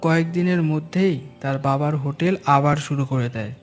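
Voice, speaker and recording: Male narrator, slightly high pitch, scripted storytelling, music behind. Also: fairly quick.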